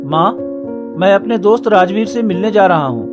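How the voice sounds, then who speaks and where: A man plays a calm, earnest young village man in a cartoon story. He talks in a fairly high voice and lays out his reasoning step by step, with soft music behind. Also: normal speed. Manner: steady and reasoning.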